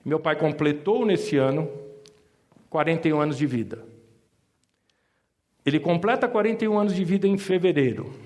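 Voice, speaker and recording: A man's voice: medium pitch, darkish tone. A middle-aged man with a Mooca accent from São Paulo tells a personal family story to an audience, with joking asides. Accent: São Paulo Italian-influenced (Mooca). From Brazil. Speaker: insurance advisor giving a talk.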